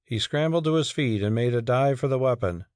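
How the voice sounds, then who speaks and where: A man's voice: medium pitch, animated, brisk, darkish tone, no room sound. Man, audiobook narrator, mid-range voice, dramatic scripted storytelling, dry sound.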